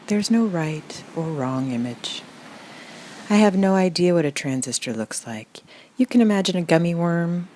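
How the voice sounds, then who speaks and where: Woman, low pitch, unhurried pace, calm deadpan meditation narrator, soothing delivery, faint background noise. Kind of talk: parody guided meditation, scripted narration.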